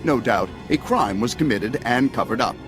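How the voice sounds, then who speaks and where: An actor playing a scheming, self-righteous politician, speaking in a pompous, oratorical way in a video game. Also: male voice, mid-range voice, lively intonation, normal speed, natural voice. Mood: accusing, oratorical. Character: scheming, self-righteous senator, secretly a traitor, male. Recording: music behind the voice.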